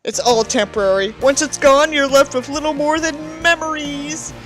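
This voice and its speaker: A whiny, self-important writer who despises humanity as a woman acts him out, declaimed in a high voice. The delivery is pompous and melodramatic, a mocking dramatic book reading with faint music. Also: steady tone, normal speed, fairly bright tone, low-quality recording. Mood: pompous despair.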